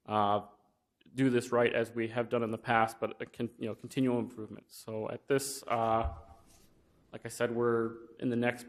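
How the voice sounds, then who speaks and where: A man's voice: medium pitch, creaky. A young man giving an informal presentation at a county meeting, talking plainly with many fillers and restarts. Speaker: wind energy business developer. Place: county commission meeting room.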